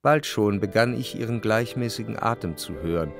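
An actor playing a wealthy, brooding man in love who narrates his memories in a measured, reflective way for a radio play. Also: on the low side, faint music behind, close to an everyday voice. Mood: solemn, melancholy. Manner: measured, reflective, deliberate phrasing. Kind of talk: literary radio drama narration.

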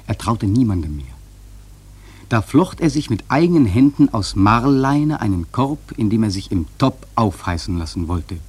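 A man acting a grave sailor who narrates a radio play in a measured, solemn literary style with dramatic swells and a husky voice. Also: fairly low pitch, very animated, darkish tone. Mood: solemn, ominous. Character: grave male sailor narrating a haunted whaling voyage.

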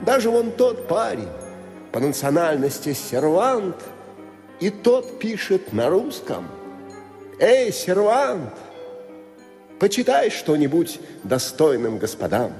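An actor playing a rueful leader of island poets in a radio comedy, plaintive and deliberate. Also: male voice, high voice, big pitch swings, darkish tone, faint music behind, exaggerated voice. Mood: explaining. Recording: long hall-like reverb.

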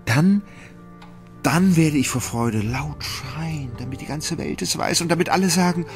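An actor playing a dreamy, hesitant gentleman in love in a radio play, with a husky voice. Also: on the high side, measured pace, faint music behind. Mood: rapturous. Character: dreamy, hesitant, lovestruck gentleman who puts things off.

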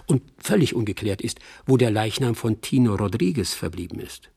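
An actor playing a wry old friend who narrates an epilogue in a radio play, deliberate, with a husky, gravelly voice. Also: male voice, lowish voice, animated, darkish tone, heavily acted. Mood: wry, reflective.

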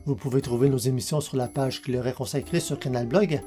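A man's voice: medium pitch, steady tone, brisk, dark tone, faint music behind. A man reading scripted podcast credits and sign-offs as the show's announcer.

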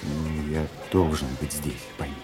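A man with an extremely deep voice, measured and soothing. He is a conscientious, troubled doctor in a radio drama, with faint music behind him. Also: flat delivery, a little mellow. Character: calm, troubled, conscientious male doctor, soothing his partner. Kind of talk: radio play acting.

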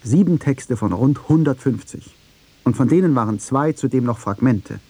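Male radio narrator, slightly husky, dry sound. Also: medium pitch, lively intonation, moderate pace, dark tone, no room sound. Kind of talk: scripted radio feature, argumentative narration.

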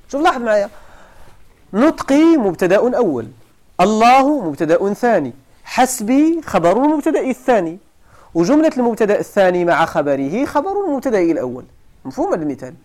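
Moroccan guy teaching a grammar class, high voice that jumps around a lot, keeps checking if students follow. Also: darkish tone. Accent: Moroccan Arabic. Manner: questions the students often, repeats points for emphasis. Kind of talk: classroom lesson.

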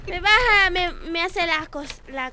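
A woman's voice: very high-pitched, measured pace. A woman playing a haughty, scolding rich mother and mistress of the house in an improvised theatre scene. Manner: scolding, exclamatory. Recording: noisy amateur recording with clicks.

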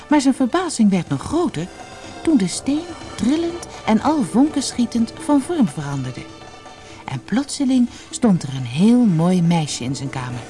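A storyteller reading a scripted fairy tale in an expressive, dramatic manner. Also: medium pitch.

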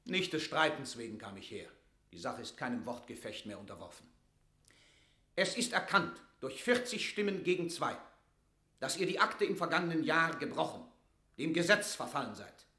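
A man's voice: fairly high pitch, sing-song, moderate pace, bright, forceful tone, echoey room. An actor playing a stern, relentless English statesman in a classical radio drama, accusing in severe, formal verse. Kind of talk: classical German stage verse radio acting.

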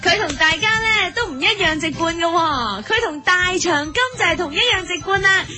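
A woman's voice: extremely high pitch, expressive, moderate pace, pushed and bright, faint music behind, full character voice. A woman playing a bubbly, silly young children's TV host with a cutesy sing-song delivery and a Hong Kong Cantonese accent. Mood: chirpy, bubbly. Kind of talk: parody children's programme presenting.

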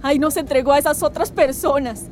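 An actress playing a gentle, hopeful young woman who survived captivity, in a radio drama. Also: high voice, fairly even intonation, brisk, a little hiss or hum, close to an everyday voice. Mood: haunted recounting.

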